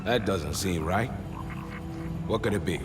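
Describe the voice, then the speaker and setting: An actor playing a brash, joking veteran soldier in a video game cutscene. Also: male voice, low voice, unhurried. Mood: gruff. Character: gruff veteran male soldier, wisecracking sergeant. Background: music score.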